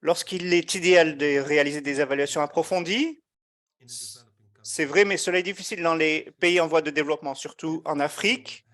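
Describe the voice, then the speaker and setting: A man giving a live interpretation of an online meeting, measured and often hesitating as he keeps up with the speakers. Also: a little high, fairly bright tone. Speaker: simultaneous interpreter.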